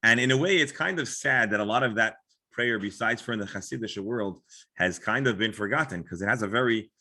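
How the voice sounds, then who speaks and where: Bright-voiced American rabbi explaining. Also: male voice, on the low side, brisk. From Florida, United States. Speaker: rabbi leading a class discussion. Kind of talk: informal religious lecture with discussion.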